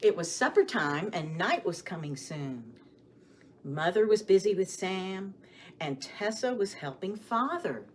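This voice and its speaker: A woman leads a story time for small children in a very animated voice. She takes her time, stressing words expressively and putting questions to her young listeners. There is steady noise behind her. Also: medium pitch, unhurried. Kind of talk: children's story time with songs, rhymes and picture book reading.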